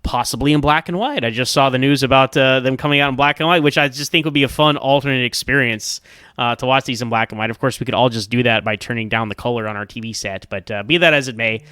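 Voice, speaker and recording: Crisp, bright-voiced man reviewing television. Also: mid-range voice, fast, dry recording, clean studio sound. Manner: rambling, stammering, opinionated. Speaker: podcaster giving listener review. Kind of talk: casual spoken TV episode review.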